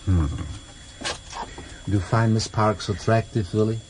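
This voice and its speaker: An actor playing a cultured, mockingly courteous older foreign interrogator, formal and deliberate, in a vintage radio drama. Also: male voice, fairly low pitch, steady tone, lightly acted. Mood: menacing, polite. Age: middle-aged or older. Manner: formal, deliberate, mock-polite. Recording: slightly noisy vintage radio recording.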